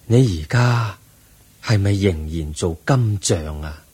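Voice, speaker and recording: An actor playing a serene, compassionate old Buddha in a radio drama, speaking gently and solemnly with measured delivery. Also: male voice, sits low, animated, slightly rough, exaggerated voice. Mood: gentle, weary. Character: serene, compassionate old male Buddha giving final teachings. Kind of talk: Cantonese radio drama acting.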